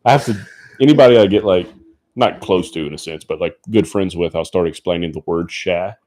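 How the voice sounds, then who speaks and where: Man, light Cajun Louisiana accent, low-pitched, rambling casual podcast talk. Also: brisk, a little mellow. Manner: laid-back, rambling, searches for words. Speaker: podcast co-host. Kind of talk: casual chat.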